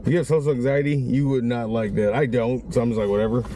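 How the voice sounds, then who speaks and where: Raspy-voiced man vlogging from a car. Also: medium pitch, steady tone, fast, warm tone, slight background noise. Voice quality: raspy, strained voice. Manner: rambling, conversational, many restarts. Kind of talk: casual vlog chat.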